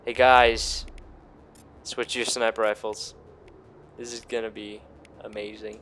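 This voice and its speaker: A man's voice: fairly low pitch, level tone, takes it slowly, a bit bright, slight background noise. A man giving casual, sweary, exclamatory gameplay commentary as he hosts a gaming video, often talking himself up. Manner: sweary, self-congratulating, exclamatory.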